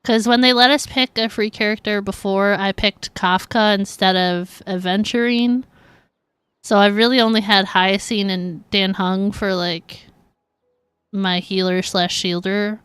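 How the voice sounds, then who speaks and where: A woman's voice: medium pitch, fairly even intonation, measured pace, a bit bright, clear, resonant tone, no room sound. A woman livestreaming a video game, chatting casually and playfully with her viewers as she plays. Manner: laughs easily, playful reactions. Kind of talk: casual chat with viewers while playing.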